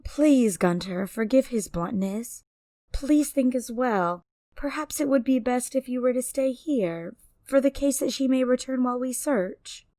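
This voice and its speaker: A woman playing a calm, polite adventurer in a fantasy audio drama, choosing measured, formal words to keep the peace. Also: sits high, dry recording, studio quality. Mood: gentle, reasoning. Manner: polite, measured wording, diplomatic.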